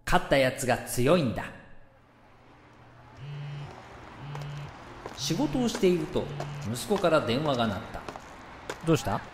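A man playing a gruff, preachy father in a radio drama, speaking in a blunt, emphatic, declamatory way. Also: a little high, big pitch swings, a little fast, background noise. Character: gruff, preachy father lecturing his young son. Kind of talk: scripted radio drama narration and dialogue.

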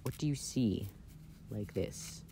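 A man playing an affectionate, playful boyfriend in a casual, intimate ASMR roleplay, speaking softly and hesitantly, recorded outdoors. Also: fairly low pitch, slow pace. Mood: playful, teasing. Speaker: amateur audio roleplay performer. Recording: outdoor ambient noise.

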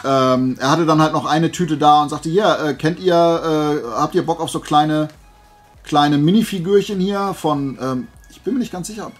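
A man chatting casually and playfully to camera as a vlogger, rambling through asides. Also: fairly high pitch. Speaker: YouTuber showing flea market finds.

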